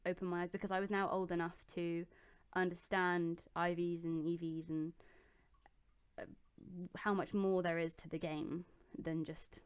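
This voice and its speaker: A young woman chats easily from her bedroom as she answers viewer questions one after another. Her voice sits a little low for a woman and sounds smooth and clear, and she fills her pauses with ums and small restarts. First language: English. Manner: chatty and relaxed, with many ums and self-corrections. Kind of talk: casual chat.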